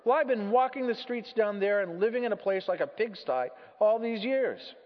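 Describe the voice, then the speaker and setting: Male reader as an indignant, blustering storybook ghost, raised pitch, muffled hall sound. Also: expressive, normal speed. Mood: aggrieved. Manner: blustering, aggrieved, rhetorical questioning. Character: big indignant male ghost, self-righteous working-class chap. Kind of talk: dramatic storybook reading. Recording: muffled, echoey large hall.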